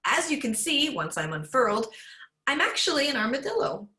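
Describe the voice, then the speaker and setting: A woman's voice: high voice, sing-song, pushed and bright, full character voice. A woman doing a nervous shy armadillo teacher. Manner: halting, with nervous laughs. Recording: echoey room, voice from a distance.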